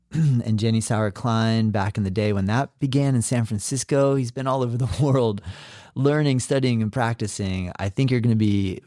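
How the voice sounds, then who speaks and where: A man's voice: on the low side, warm tone, no echo, studio quality. A man hosting a podcast interview, enthusiastic and full of filler words. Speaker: podcast host.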